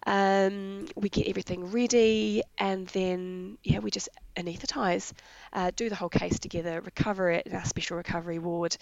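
A woman from New Zealand with a raspy voice from being sick, eagerly explaining her work in veterinary anaesthesia in a casual interview. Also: medium pitch, fairly even intonation, moderate pace, hardly any pauses, dry recording, highs cut off. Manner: enthusiastic, explains jargon in plain terms. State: raspy voice from illness.

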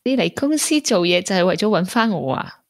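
A woman's voice: fairly low pitch, fairly quick. An actress playing a playful, coy, jealous young girlfriend in a radio drama, with a Hong Kong accent. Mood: curious. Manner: teasing, expressive. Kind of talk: Cantonese radio drama acting.

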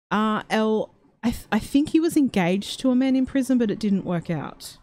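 A woman's voice: on the low side, dark tone. A woman hosting a livestream in casual chat, reading out viewer comments and rambling with many fillers and restarts.

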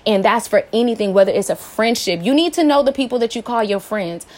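A woman's voice: a little high, a little fast, darkish tone. A woman in her late twenties hosting a personal advice podcast, talking earnestly and encouragingly, often asking her listeners rhetorical questions. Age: late 20s. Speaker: podcast host.

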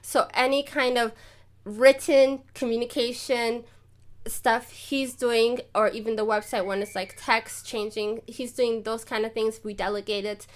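A woman with a fairly high voice speaks as a photographer guest on a podcast. Her talk is casual and lively, and she laughs readily. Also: a little slow. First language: not German; lives and works in German. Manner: lively, chatty, laughs easily. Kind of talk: casual interview chat.

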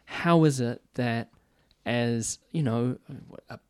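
A man's voice: medium pitch, takes it slowly, clean tone, no echo, studio quality. A man in his forties working in healthcare, talking thoughtfully in a casual chat, often restarting his sentences. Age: 40s. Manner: thoughtful, restarts a lot, talks with his hands. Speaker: healthcare worker. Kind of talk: casual conversation. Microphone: boom mic.

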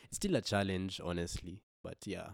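Deep-voiced young man from Kenya. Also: moderate pace, vocal fry, no echo, clean studio sound. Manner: thoughtful, hesitant with many restarts. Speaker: guest musician. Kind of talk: reflective podcast conversation.

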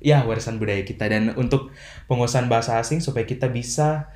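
A young man talks in careful, formal sentences, earnest and a little motivational, with fillers between thoughts. His voice sits at a middle pitch. The room around him rings noticeably. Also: darkish tone. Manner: measured, formal phrasing with frequent fillers. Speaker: podcast guest, language ambassador. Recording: roomy echo.

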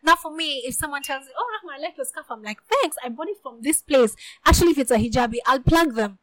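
A young woman co-hosting a podcast, chatting in a breezy, teasing and animated way. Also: high voice, fast, no room sound, poor audio. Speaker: podcast co-host. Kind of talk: casual podcast chat. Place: podcast studio.

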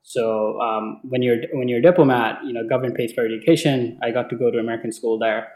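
A young man from Bangladesh whose first language is Bengali speaks at a middling pitch in an echoey room. He talks like an interview guest telling his story casually, hesitating and doubling back on words as he goes. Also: steady tone, quick pace, a little mellow. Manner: hesitant, often repeating words. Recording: echoey, roomy sound.

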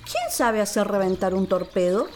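A woman voicing a huge, proud male catfish, gruff but loyal, with emphatic delivery in a children's storybook radio play. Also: mid-range voice, big pitch swings, moderate pace, over music, lightly acted. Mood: grudging.

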